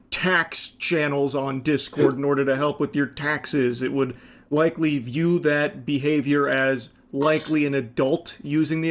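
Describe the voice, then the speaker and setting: Measured man with a higher voice. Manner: measured, tentative explaining. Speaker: video commentator. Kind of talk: scripted commentary.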